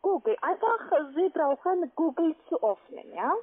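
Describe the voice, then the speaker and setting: A woman's voice: high-pitched, expressive, a little slow, phone-quality sound. A woman with a foreign accent in German, a call-center agent giving insistent step-by-step instructions over the phone. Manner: insistent, repeatedly checking with tag questions.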